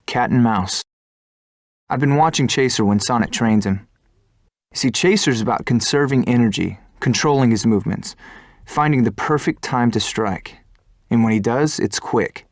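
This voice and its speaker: A man's voice: mid-range voice, no room sound, clean studio sound, lightly acted. Earnest young fighter pilot, played by a man. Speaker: fighter pilot protagonist and narrator. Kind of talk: video game cutscene narration and dialogue. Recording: music behind the voice.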